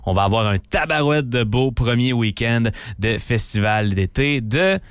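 Quebec French man, radio co-host on weather, smooth clear voice, dry close sound, easygoing and jokey. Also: mid-range voice, animated, unhurried, dry recording. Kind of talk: casual radio banter.

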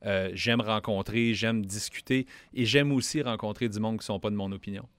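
Earnest Quebec man, low dry voice. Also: moderate pace, dry recording. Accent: Quebec French. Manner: earnest, repeats words when starting sentences. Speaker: federal member of parliament, guest. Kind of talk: radio interview, casual political talk.

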